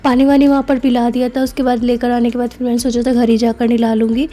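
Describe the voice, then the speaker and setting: A woman's voice: a little high, level tone, fast talker, mellow. Chatty young woman in vlog voice-over. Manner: chatty, many fillers like "na" and "matlab". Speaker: family vlogger.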